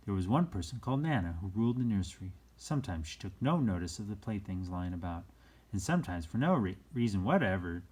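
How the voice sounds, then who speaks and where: Husky, lively male storyteller. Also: low-pitched. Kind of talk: expressive children's read-aloud narration.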